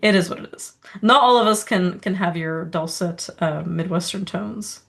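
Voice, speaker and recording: A woman's voice: on the low side, normal speed, gravelly, fairly bright tone. A woman of origin co-hosting a podcast, talking in a rambling, casual way full of asides and little laughs. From Serbia. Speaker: podcast co-host. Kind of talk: casual conversational chat.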